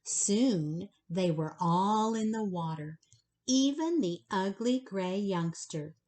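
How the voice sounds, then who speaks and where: A woman reads a children's story aloud at bedtime. Her delivery is unhurried and expressive, with pitch that swings widely. She speaks directly to her young listeners as a storyteller would. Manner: slow, animated, pausing to address the child listeners directly.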